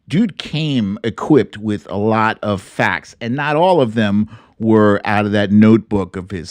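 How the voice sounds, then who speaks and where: A man hosting a live political commentary stream, speaking casually and bluntly with salty slang and theatrical, opinionated delivery. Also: fairly high pitch. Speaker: host of a political commentary livestream.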